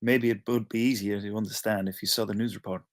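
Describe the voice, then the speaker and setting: A man's voice: fairly low pitch, steady tone, quick pace, dry recording, studio quality, close to an everyday voice. A man playing an urgent, grave friend breaking bad news at a screenplay table read.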